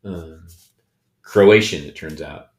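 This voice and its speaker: A middle-aged man with a very low, deep voice, speaking slowly and haltingly with frequent ums. He is talking personally and reflectively in a casual podcast chat. The sound carries the echo of a bare room. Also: a little mellow. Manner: hesitant, many ums and restarts. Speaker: podcast co-host. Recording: echoey room.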